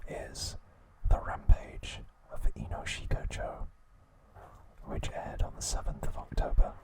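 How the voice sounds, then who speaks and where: A man hosting ASMR, narrating in a hushed, soothing, breathy whisper at an unhurried pace. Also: poor audio. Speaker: ASMR host.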